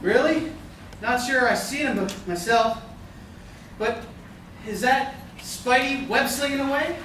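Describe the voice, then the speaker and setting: A man's voice: extremely high pitch, unhurried, sharp tone, slight background noise, heavily acted. A man playing an urgent, dry police detective in a radio play. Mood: feigned innocence. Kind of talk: live staged radio play acting. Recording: echoey hall, distant mic.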